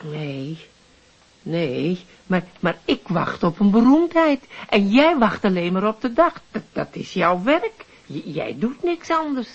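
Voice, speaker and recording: A woman's voice: mid-range voice, very animated, slow, gravelly, slightly rough, lo-fi sound, heavily acted. An actress playing a nervous, chatty, prying middle-aged widow in a radio drama, hesitant and repeating her words. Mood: curious, probing.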